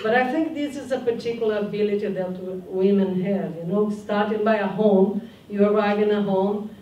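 A woman's voice: mid-range voice, normal speed, mellow, muffled recording, a little hiss or hum. An older woman speaking on a panel, searching for words and adding "no?" tags, on a handheld mic in a conference room. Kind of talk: panel discussion talk. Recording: roomy hall from a distant mic.

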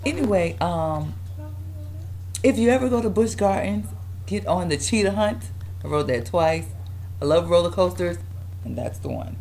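A young woman, her voice raspy from yelling, chatting casually through a hair tutorial at home. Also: fairly low pitch, lively intonation, normal speed, long pauses, a little hiss or hum. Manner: chatty, rambling, with fillers and restarts. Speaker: vlogger. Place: dining room at home. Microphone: webcam at close range.

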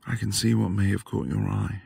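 A man acting as a devoted, flirtatious husband in an intimate ASMR roleplay, breathy and affectionate, often dropping toward a whisper. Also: on the low side, little pitch movement, dark tone, full character voice. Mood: teasing.

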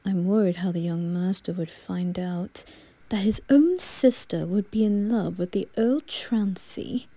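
A woman voicing a composed, secretive male butler with a breathy voice, formal and wary, in a soft anime roleplay. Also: lowish voice, unhurried, dry recording. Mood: wary, hushed.

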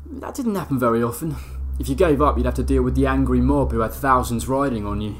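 An actor playing a rough, cocky young bare-knuckle fighter, telling his story in chatty, matey slang. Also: medium pitch, quick pace, a little hiss or hum, close to an everyday voice. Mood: wry, reminiscing. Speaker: actor in an audio drama. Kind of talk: chatty first-person dramatic storytelling.

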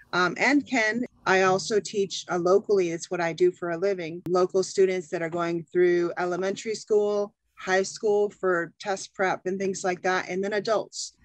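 A woman's voice: fairly low pitch, no room sound. A woman with a Midwestern American accent, an English teacher chatting enthusiastically on a video call. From Chicago, USA. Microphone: video call webcam.

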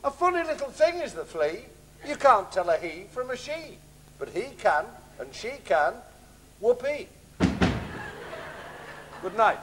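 A man's voice: sits high, big pitch swings, unhurried, long pauses, fairly bright tone, a little hiss or hum, heavily acted. A man playing a genial, doddery comic father in a stage pantomime, bumbling and jokey. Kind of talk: British pantomime stage comedy. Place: large theatre stage, indoors.

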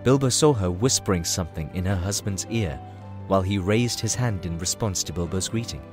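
A man's voice: deep voice, brisk, a little mellow. A man reading scripted storybook narration for an audio drama. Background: music.

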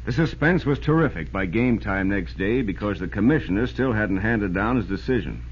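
An actor with an American accent playing an excitable sports reporter, narrating a yarn in a folksy way for old-time radio. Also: male voice, fairly low pitch, moderate pace, lightly acted. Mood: dramatic suspense. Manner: folksy, animated storytelling. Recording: noisy, dull old radio broadcast.

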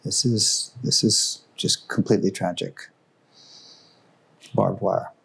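A man giving an informal, enthusiastic talk about artworks in a museum room, growing hushed and solemn at the tragic pieces. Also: deep voice, steady tone, takes it slowly, gravelly. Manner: enthusiastic, conversational, drops his voice for solemn works. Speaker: museum guide presenting artworks. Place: museum gallery room, indoors.

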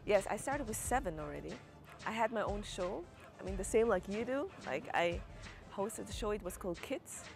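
A woman's voice: mid-range voice, expressive, normal speed, creaky, over music. A young woman from Switzerland with a Swiss German accent, a guest on a TV show who talks hesitantly and searches for English words, recorded outdoors on a terrace with a lapel mic. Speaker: guest, actress and comedian.

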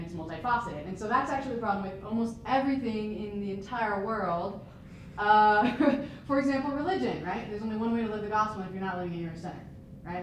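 A young woman giving an energetic, laughing conference talk, moving around the stage as she speaks. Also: medium pitch. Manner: fast-talking, laughing, moves around the stage. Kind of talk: energetic presentation. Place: conference room with projector screen. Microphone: handheld mic. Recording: echoey room, camera from far off, noisy.

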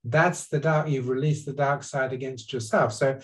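A man's voice: mid-range voice, fairly even intonation, moderate pace, fairly bright tone. An older man, a guest psychologist, explaining earnestly over a webcam call from his living room, often restarting his sentences. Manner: earnest, gesturing with his hands, frequent restarts. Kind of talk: explanatory conversation on a video call. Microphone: webcam on a video call.

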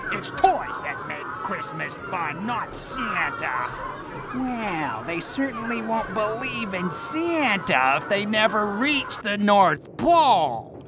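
An actor voicing a sneering, child-hating marionette puppet in a video game, scornful and gloating in a drawn-out theatrical way, recorded in noisy game audio under music. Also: mid-range voice. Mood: bitter scorn. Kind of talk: theatrical villain voice acting.

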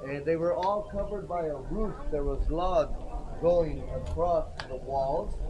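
A man guiding a tour group through ruins outdoors, telling the story in a lively way and asking the group questions. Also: a little high, a little slow, lo-fi sound, background noise. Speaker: tour guide. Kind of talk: guided site tour explanation. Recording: outdoor crowd chatter behind.

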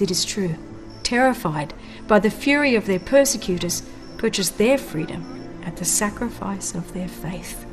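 A woman narrating a dramatized audiobook of religious history in a solemn, measured manner with deliberate phrasing. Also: on the low side. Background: background music.

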